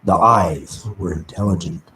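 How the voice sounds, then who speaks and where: A man reading a novel aloud live as dramatic narration, with deliberate, halting phrasing. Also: low voice, sharp tone. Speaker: reader of an audiobook. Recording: noisy amateur live recording with background ambience. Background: background ambient sounds.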